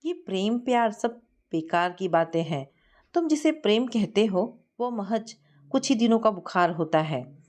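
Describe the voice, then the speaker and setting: A firm, dismissive older father in a storybook drama , read by a woman. He waves away romance with animated, mid-pitched speech. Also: unhurried, lightly acted.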